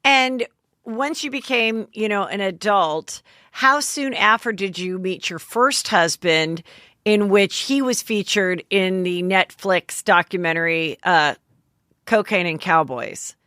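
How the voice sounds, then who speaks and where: A woman's voice: mid-range voice, slow, bright, forceful tone, dry recording, studio quality. A woman hosting a podcast, asking a guest probing, curious questions. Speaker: podcast host. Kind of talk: interviewing a guest.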